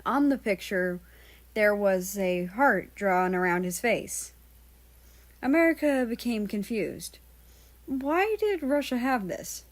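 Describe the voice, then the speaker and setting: A woman's voice: mid-range voice, lively intonation, slow pace. Woman reading a story aloud. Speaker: fan fiction reader.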